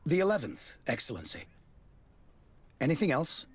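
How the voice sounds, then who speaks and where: Husky, guarded army officer. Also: male voice, on the high side, very animated, moderate pace. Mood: formal, curt. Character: guarded, wary male military officer, training lieutenant. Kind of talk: video game cutscene acting.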